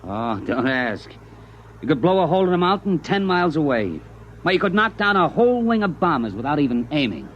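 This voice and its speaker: An actor playing a cocky American army private with a sardonic, slangy manner in 1950s radio drama style. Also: male voice, fairly high pitch, very animated, fairly quick, fairly bright tone. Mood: eager, explaining. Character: cocky wisecracking army private, science-fiction writer turned schemer. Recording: muffled 1950s radio recording, faint noise.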